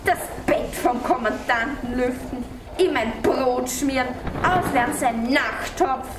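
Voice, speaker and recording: A frustrated girl soldier who passes as a boy played by a woman speaks slowly and dramatically in a stage music drama. The voice is high. It sounds distant in an echoey, noisy room. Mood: bitter. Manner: slow, dramatic delivery. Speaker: performer in a student music theatre piece. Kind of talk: experimental opera stage declamation.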